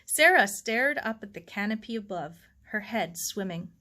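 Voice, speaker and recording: A woman's voice: mid-range voice, animated, moderate pace, bright, forceful tone. A woman narrating a children's novel aloud as a storyteller. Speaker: story reader.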